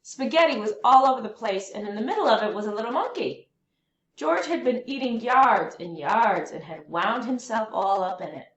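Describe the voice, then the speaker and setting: A woman reading a children's picture book aloud as a storyteller, with expressive, deliberate phrasing for young listeners. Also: a little high, muffled recording. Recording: roomy echo, faint background noise.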